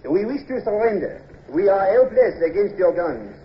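A man's voice: high-pitched, very animated, normal speed. An actor playing a submissive, hesitant captured French pirate crewman in a radio drama. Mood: nervous, submissive. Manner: deferential, hesitant. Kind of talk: old-time radio drama acting. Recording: dull, noisy old radio recording.

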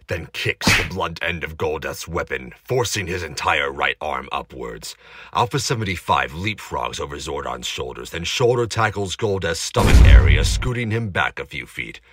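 A man's voice: a bass voice, a bit bright, breathy edge, no echo, studio quality. A man giving dramatic scripted narration of an action story in an amateur audio drama. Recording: noisy amateur recording with heavy background noise.

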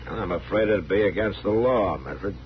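Give the role to an actor as a stern, steady American lawman of the frontier, low-pitched and firm. He speaks in terse, authoritative commands, like a 1950s radio western hero. The recording is muffled, with loud noise behind it. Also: normal speed, lightly acted. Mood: calm, unyielding. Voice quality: deep, firm voice. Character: stern, steady frontier marshal keeping order.

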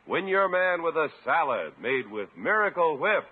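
A man's voice: fairly high pitch, big pitch swings, moderate pace, slightly rough, phone-quality sound. An American man working as a 1950s radio announcer, delivering emphatic sales talk. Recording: band-limited old radio recording.

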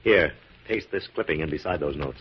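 An actor playing an assured, methodical scientist hero with a measured, authoritative manner, in 1940s radio drama style. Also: male voice, rumbling low. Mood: businesslike, assured. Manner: crisp dictation, measured, authoritative. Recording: dull, muffled 1940s radio recording.

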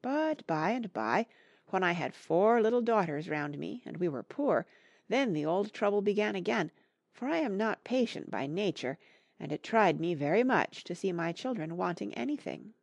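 A patient, middle-aged mother from a classic storybook, acted by a woman reader. She gives tender, humble counsel with expressive intonation and a creaky edge. The recording is very dry. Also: medium pitch, no echo. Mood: reflective, tender. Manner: soft, tender, measured moral counsel. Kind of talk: acted audiobook dialogue from a classic novel.

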